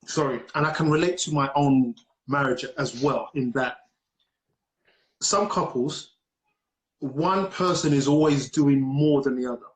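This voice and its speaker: A man hosts a casual live chat, reflective and measured, often chiming in with short affirmations. His voice has a middling pitch and echoes in a roomy space.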